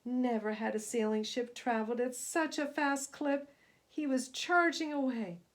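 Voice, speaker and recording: Woman, children's story reader, fairly high pitch, expressive narration, dramatic, emphatic. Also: a little slow. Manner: dramatic, emphatic, varying from hushed to booming.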